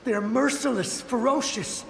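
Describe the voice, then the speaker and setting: Casting: desperate loyal samurai phantom, high-pitched, urgent and dramatic, ringing with long reverb, game role. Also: male voice, moderate pace, vocal fry, slight background noise, heavily acted. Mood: desperate, fearful. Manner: urgent, pleading, dramatic delivery. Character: desperate ghostly memory of a loyal male samurai soldier. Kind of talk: video game voice acting. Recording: echoing reverberant hall, music behind. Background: game music.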